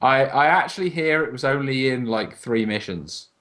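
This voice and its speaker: A man with a medium-pitched voice co-hosts a gaming podcast. He talks in a casual, conversational way and freely offers his opinions. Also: lively intonation, moderate pace, fairly bright tone.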